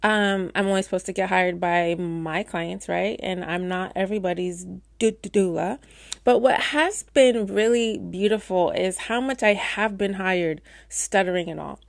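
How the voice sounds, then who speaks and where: A middle-aged woman doula who stutters, giving candid, warm advice to new doulas in a casual talk with playful asides. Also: mid-range voice, moderate pace, gravelly, no echo, clean studio sound. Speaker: doula and course teacher.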